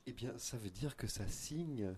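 A man's voice: on the low side, lively intonation, unhurried, darkish tone, husky, dry recording. A man co-hosting a radio show in a studio, speaking hesitantly with many fillers as he wraps up. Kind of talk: casual radio chat.

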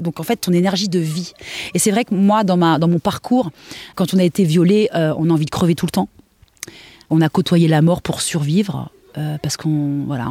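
A middle-aged woman giving a candid personal account in an earnest, halting way, thinking aloud. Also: on the low side, warm tone, no echo. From Portuguese family background. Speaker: interview guest, dancer and choreographer.

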